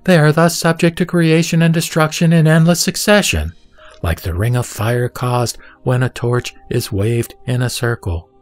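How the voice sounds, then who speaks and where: A man voicing a serene, authoritative holy teacher in a scripture audio drama, solemn, didactic and measured. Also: mid-range voice, fairly even intonation, faint music behind, close to an everyday voice. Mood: solemn teaching.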